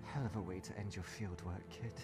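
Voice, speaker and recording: A man's voice: deep voice, fairly even intonation, a little fast. A man playing a witty, unflappable female archaeologist adventurer in a comic dub, full of wry quips and muttered asides. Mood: musing. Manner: wry, quipping, talks to self. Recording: music behind the voice. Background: clear music.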